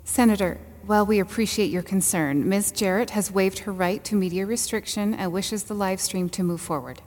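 A woman's voice: medium pitch, moderate pace, darkish tone, lightly acted. A woman playing a poised counsel at a hearing in a podcast drama, formal and assertive. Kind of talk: naturalistic fiction podcast acting. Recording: long echo of a large hearing hall.

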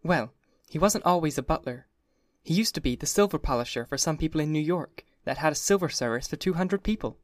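A man voicing a bubbly, wistful young society wife in a high, lilting, breathy voice. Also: expressive, a little fast, no room sound, clean studio sound, close to an everyday voice. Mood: confiding hush.

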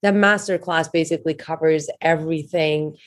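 A woman with a low voice speaks as an interview guest, explaining things in an earnest, steady way. She fills pauses with many small "uh"s and "um"s. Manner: earnest, steady, with frequent fillers. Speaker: author and meditation teacher.

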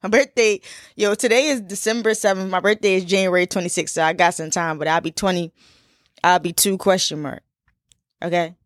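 A woman's voice: fairly low pitch, fairly even intonation, brisk, no echo, studio quality. A woman in her twenties from Philadelphia, hosting a casual solo podcast in a chatty, laid-back way with lots of fillers and repeats. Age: 20s. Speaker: podcast host.

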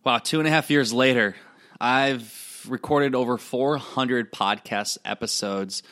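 This is a young adult man with an Iowa accent, hosting a solo podcast. His pitch sits in the middle, and he gets excited and speeds up as he talks. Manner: excitable, speaks fast when excited. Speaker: podcast host. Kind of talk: reflective solo podcast monologue.

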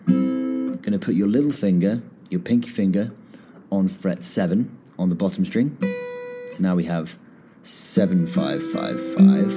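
A man hosting a guitar lesson podcast, calmly explaining finger positions step by step, his voice a little croaky. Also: deep voice. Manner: relaxed, step-by-step instruction. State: slightly croaky after singing. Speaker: guitar teacher and podcast host. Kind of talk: casual spoken guitar lesson. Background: guitar playing between phrases.

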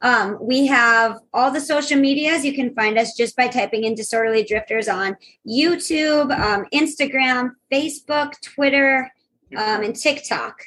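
A woman's voice: fairly high pitch, measured pace, a bit bright, a little hiss or hum, poor audio. A woman in her thirties from North Dakota, a podcast guest chatting casually, with many fillers and frequent laughs. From North Dakota, USA. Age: 30s. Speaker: guest traveler.

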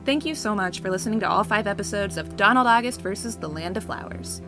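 Casting: woman producer, medium pitch, scripted closing credits over loud music. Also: quick pace. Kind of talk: scripted podcast outro.

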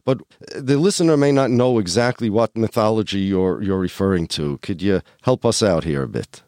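A man's voice: fairly low pitch, normal speed, darkish tone, no room sound, studio quality. A man hosting a podcast interview, asking probing questions in eager, stammering bursts. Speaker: podcast host.

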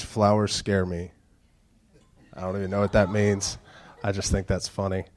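A man in his thirties, a pastor giving a casual sermon with announcements and dad jokes, talking low, slow and fairly monotone with a stuffed-up nose. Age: 30s. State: stuffed-up nasal congestion.

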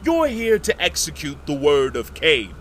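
A man voicing a proud, aging lord of an empire, imperious and quick to anger. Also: fairly high pitch, huge pitch swings, fairly bright tone, slight background noise, heavily acted. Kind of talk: audio drama acting.

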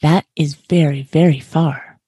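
A woman voicing a big, gentle male hare in a storybook, speaking calmly and softly. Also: deep voice, a little slow, breathy edge, dry recording, exaggerated voice. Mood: tender. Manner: calm, gentle.

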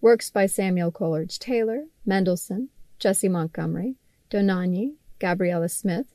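A woman reading her own magazine article aloud as scripted narration, articulate and measured, with wry, pointed emphasis. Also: mid-range voice, warm tone, no room sound.

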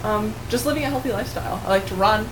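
A young woman who grew up speaking Polish talks through interview questions in a friendly, unhurried way. She often restarts her sentences. Her voice sits in a middle register, with a clear hiss of room noise behind it. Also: mid-range voice. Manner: friendly, hesitant, many restarts and laughs. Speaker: manual osteopath. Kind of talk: casual interview chat. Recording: noisy room ambience.

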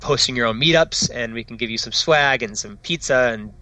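A man's voice: medium pitch, pushed and bright, a little hiss or hum, low-quality recording. A man, a startup founder, talking eagerly in a casual interview, often tagging "right?" onto his sentences. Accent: non-Dutch foreign accent. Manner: enthusiastic, fast, peppered with "right?" and "like".

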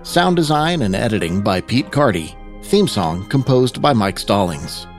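A man's voice: fairly low pitch, big pitch swings, measured pace, soft background music. A man reading a scripted outro as the announcer of a podcast.

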